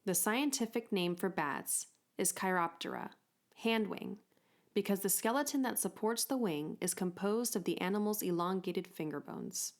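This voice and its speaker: A woman reading a children's book aloud as an expressive storytime narrator. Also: medium pitch, moderate pace.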